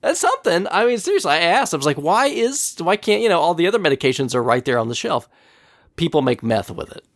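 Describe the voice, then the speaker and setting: A man hosting a casual podcast, nasal and scratchy from a cold. His pitch swings a lot as he tells a story, and his voice has a gravelly edge. The recording is dry and close. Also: quick pace, no echo. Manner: chatty storyteller, laughs often, animated retelling. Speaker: podcast host.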